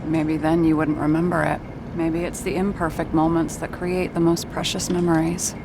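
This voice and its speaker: A woman's voice: deep voice, flat delivery, moderate pace, noisy background. An actress playing a tough, guarded young woman from the slums in a noir video game, speaking with a dry, determined manner. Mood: gentle, reflective. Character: tough, guarded slum-born young woman, vengeful liaison. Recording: loud city and vehicle ambience.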